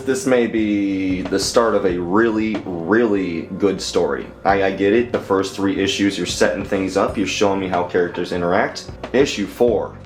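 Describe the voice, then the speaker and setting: Low-voiced man reviewing casually. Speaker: comic book reviewer.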